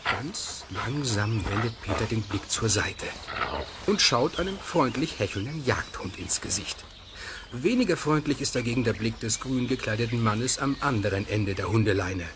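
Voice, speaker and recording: A man's voice: fairly low pitch, animated, normal speed, background noise. A man reading scripted storytelling narration for a German children's audio drama.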